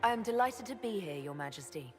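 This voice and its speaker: A woman's voice: medium pitch, animated, fairly quick, darkish tone, soft background music, natural voice. An actress playing a composed, diplomatic lady inquisitor in a fantasy game, polite and self-possessed.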